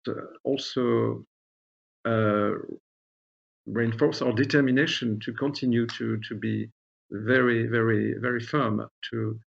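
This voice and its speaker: A middle-aged man with a French accent answering formally as a diplomat in an interview, haltingly searching for words and repeating them. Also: medium pitch, creaky, breathy edge. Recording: dull, lo-fi audio.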